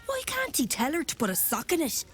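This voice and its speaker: An actress playing a weary, sharp-tongued mother of a grown son, complaining in a world-weary way. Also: high-pitched, wildly swooping pitch, a little fast, a little hiss or hum, heavily acted. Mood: weary grumbling.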